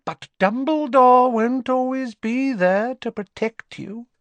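A man voicing a cold, haughty aristocratic mother who speaks slowly and with menace. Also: extremely high pitch, fairly bright tone, dry recording, voice pushed up, heavily acted. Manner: slow, icy and menacing.

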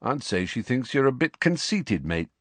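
A man voicing a breezy, wry teenage schoolboy in an audiobook, brisk and drily amused. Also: mid-range voice, very animated, a bit bright, no echo.

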